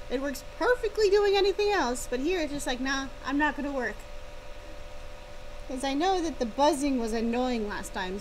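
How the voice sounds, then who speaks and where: Woman, high-pitched, casual stream chat, self-deprecating, wry, light buzzing behind the voice. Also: slight background noise, poor audio. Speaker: live streamer, author. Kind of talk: casual chat with livestream viewers. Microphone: laptop's built-in or connected microphone. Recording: buzzing laptop mic near fan. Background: fan buzz.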